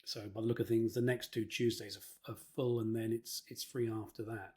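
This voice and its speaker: Man giving an informal, halting walkthrough. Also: fairly low pitch, flat delivery, darkish tone. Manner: hesitant, often repeating words mid-phrase. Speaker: presenter, marketing consultant. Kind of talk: informal instructional presentation.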